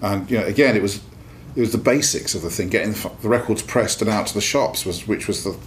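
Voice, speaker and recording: A man's voice: lowish voice, quick pace, slight background noise. A man from the record label recounting events in a matter-of-fact interview. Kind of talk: documentary interview.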